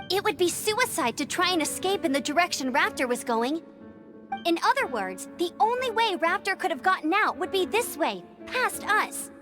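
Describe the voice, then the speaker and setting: A woman voicing a bubbly, bold young gliding champion in a video game, upbeat, chatty and eager. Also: very high-pitched. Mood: confident, eager. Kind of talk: animated video game voice acting. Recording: game music behind the voice.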